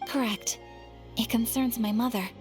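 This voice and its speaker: A woman voicing a polite, earnest young noblewoman in an anime game, speaking formally and with measured courtesy. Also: on the high side, steady tone, darkish tone, soft background music, natural voice.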